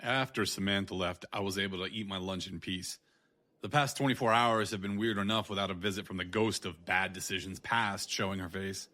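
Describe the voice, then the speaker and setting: Weary male detective, played by an actor, noir audio drama: slightly low voice, wry, blunt, sarcastic. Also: fairly even intonation, fairly quick, sharp tone, dry recording. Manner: sardonic, wry.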